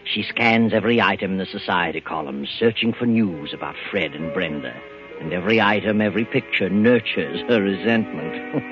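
A low, gloating narrator, acted by a man tells a 1940s radio mystery with taunting, drawn-out emphasis. Loud music plays under him on a dull old recording. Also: close to an everyday voice. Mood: sinister, gloating. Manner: dramatic, taunting, deliberate emphasis. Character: sinister omniscient male narrator, mocking, gloating over fates.

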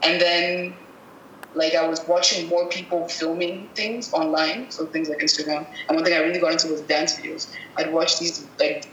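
A woman from Cameroon speaks in a bright, forward voice. She rambles casually and corrects herself mid-thought. The sound is roomy, with a faint hiss of background noise. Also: low voice, little pitch movement, moderate pace. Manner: rambling, self-correcting, thinking aloud. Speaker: guest videographer. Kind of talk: casual interview answers. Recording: echoey room with faint noise.

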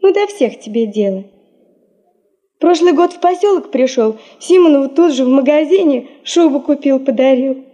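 High, mellow teenage granddaughter. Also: female voice, expressive, moderate pace. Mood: fond teasing. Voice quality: high, soft. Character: affectionate teenage granddaughter, gently teasing her grandfather. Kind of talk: Russian radio play acting.

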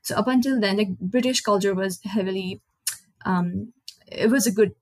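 A woman's voice: mid-range voice, normal speed, dry recording. A young woman from Nepal with a Nepali accent, a native Nepali speaker hosting a casual solo podcast in English, rambling and correcting herself as she goes. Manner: rambling, self-correcting, chatty. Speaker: podcast host.

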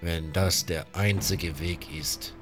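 A man's voice: sits low, fairly even intonation, takes it slowly, music in the background, voice pushed down. A man voicing a grave, urgent leader of water mages in a fantasy audio story, solemn and commanding. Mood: pained, solemn.

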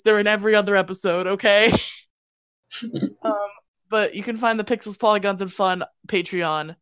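A woman's voice: medium pitch, a bit bright, clean tone, no room sound. Podcast host, woman, playful and rambling, dry close sound. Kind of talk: casual podcast outro chat.

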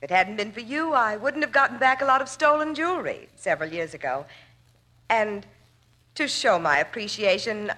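Genteel society woman; earnest, dramatic, bright, swooping delivery, vintage radio. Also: medium pitch, expressive. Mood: grateful, fervent. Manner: dramatic, formal, halting pauses. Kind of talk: 1940s radio drama acting. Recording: old radio broadcast.

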